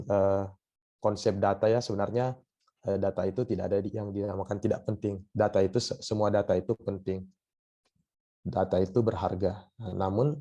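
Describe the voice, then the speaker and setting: A hoarse man with a cough, giving a university lecture online and often pausing on filler sounds. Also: on the low side, dark tone, highs cut off. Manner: hesitant, many filler sounds.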